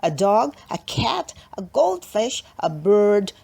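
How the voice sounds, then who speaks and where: A woman's voice: medium pitch, sing-song, slow, gravelly, a little mellow, slightly rough. A woman narrating a children's storybook expressively, with dramatic emphasis and playful asides. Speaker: storybook reader.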